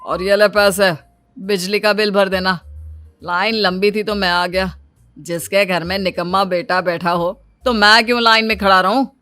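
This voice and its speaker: A man's voice: high voice, fairly even intonation, normal speed, bright, forceful tone, smooth, no echo, soft background music. A man playing a wisecracking, greedy, grumbling middle-aged Indian father in an exaggerated comic story style.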